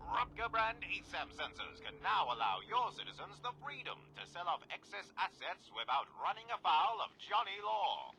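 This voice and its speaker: A man's voice: high-pitched, noisy background. An actor playing a polished, salesmanlike male announcer in a scripted retro advertisement for a video game. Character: peppy retro-futuristic corporate advertisement announcer, adult man. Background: music.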